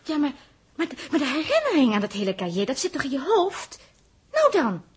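High-pitched, chattering, doting young-sounding woman. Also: wildly swooping pitch, fairly quick. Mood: eager, coaxing. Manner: stammering, coaxing, scatterbrained. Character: chatty, naive, doting female companion on a space test. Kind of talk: 1960s Dutch radio drama acting.

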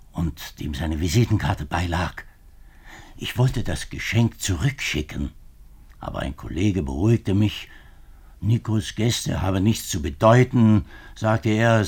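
Anxious, pleading minister in a 1950s radio play; deep, slow-paced, formal and halting. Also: male voice, gravelly, darkish tone, breathy edge, exaggerated voice. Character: distraught male government minister, middle-aged, anxious and pleading. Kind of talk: 1950s German radio drama acting.

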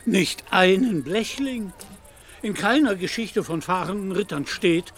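An actor playing a deluded, proud would-be knight errant in a German radio play, speaking in lofty, archaic chivalrous phrases. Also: male voice, sits high, measured pace, fairly bright tone. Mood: earnest, solemn. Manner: pompous, archaic chivalrous diction.

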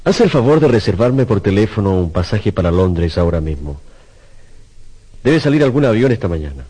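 A proud, obsessive sculptor from a radio play. The voice sits very low, mellow and husky, with a theatrical, brooding delivery full of self-doubt. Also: male voice, sing-song, brisk. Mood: urgent, decided. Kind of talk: Spanish-language radio drama acting.

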